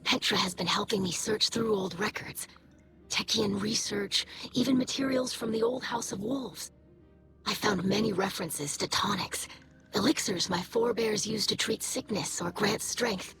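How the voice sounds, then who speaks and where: A woman's voice: mid-range voice, moderate pace, close to an everyday voice. A woman voicing a young alien scholar and tonic maker in a video game, speaking carefully and formally with earnest concern. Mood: earnest, hopeful. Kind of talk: video game character dialogue. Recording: game music behind the voice.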